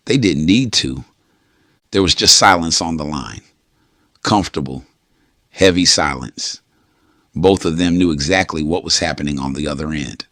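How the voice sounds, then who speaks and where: A man narrating a late-night romance story in a slow, hushed, intimate way. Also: extremely low pitch, dry recording, studio quality. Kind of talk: scripted storytelling.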